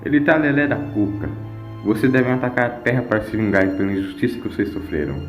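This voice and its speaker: A man's voice: medium pitch, animated, fast, highs cut off, lightly acted. Scheming drifter, acted by a male narrator: insistent, goading, dismissive, echoing sound with music. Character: scheming male wanderer villain, insistent, manipulative.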